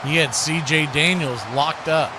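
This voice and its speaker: A man's voice: a little high, unhurried. A man doing live football play-by-play as an announcer, speaking in clipped phrases that build on big plays. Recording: clearly noisy live stream.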